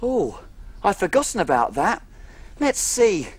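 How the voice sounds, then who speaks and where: A woman's voice: medium pitch, big pitch swings, unhurried, darkish tone, husky, dry recording. A woman voicing a tiny, cheerful female cook who tells stories and makes animal noises on a children's show. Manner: bouncy, sing-song, makes animal noises. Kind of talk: children's TV acting, storytelling.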